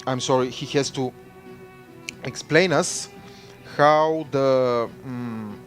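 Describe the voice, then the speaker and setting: Slow, hesitant man hosting a stream. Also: mid-range voice, faint music behind. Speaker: livestream host. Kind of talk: casual live-stream commentary.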